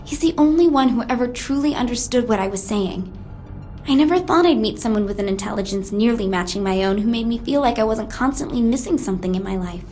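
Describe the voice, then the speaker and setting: An actress playing a self-important, put-upon genius ex-agent with a plaintive manner in a scripted video game monologue. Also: female voice, fairly high pitch, lively intonation, quick pace, a little mellow, natural voice. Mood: wistful, lovelorn. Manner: indignant, plaintive, pompous. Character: exasperated, self-important conspiracy-minded woman genius, former agent. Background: music behind the voice.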